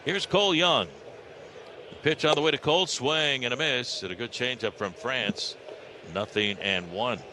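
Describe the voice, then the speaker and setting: An American man calling a live baseball game on the radio, in clipped descriptive phrases with some drawn-out calls. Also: mid-range voice. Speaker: baseball radio play-by-play announcer. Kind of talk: live play-by-play commentary. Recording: radio broadcast with clear background noise.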